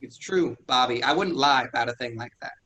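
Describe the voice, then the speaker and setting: A man's voice: medium pitch, brisk, bright, forceful tone. An actor reading a stubborn rural young man, curt and defensive in a staged play reading.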